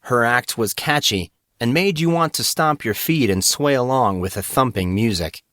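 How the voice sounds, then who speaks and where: A man's voice: mid-range voice, normal speed, a bit bright, no echo, studio quality. A man reading a scripted story as an audiobook narrator. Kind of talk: scripted fiction narration with acted dialogue.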